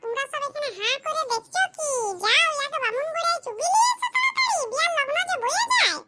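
A woman voicing a shrill scolding mother-in-law. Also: expressive, fast talker. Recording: loud background noise.

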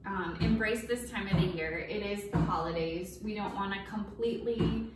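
A woman's voice: medium pitch, normal speed. A woman in her thirties co-hosting a cooking segment, explaining tips and asking the cook questions. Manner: friendly, explanatory, asks questions. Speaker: co-host. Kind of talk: casual cooking show chat. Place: home kitchen, indoors. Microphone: far camera mic. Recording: echoey kitchen, distant mic, noisy.

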